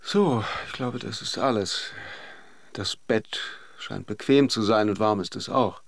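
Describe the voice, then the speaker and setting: An actor playing a wry, attentive lover in a German radio drama, understated and a little hesitant. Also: male voice, fairly low pitch, unhurried, creaky, no echo. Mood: caring, teasing. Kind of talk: German radio drama acting, with reflective narration.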